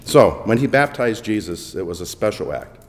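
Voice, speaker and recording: A man preaching a sermon with dramatic swings between booming emphasis and hushed asides, in a church. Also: big pitch swings, moderate pace. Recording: long echo of a large hall.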